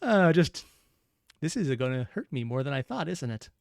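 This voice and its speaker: Man, higher-than-average voice, animated intonation, dry close sound, casual reaction commentary, thinking out loud. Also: moderate pace, gravelly, dry recording. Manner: musing aloud, thinking through observations. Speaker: reaction video host.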